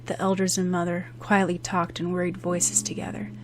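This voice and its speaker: Female audiobook narrator, lower register, scripted dramatic storytelling. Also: completely flat delivery, moderate pace, noisy background.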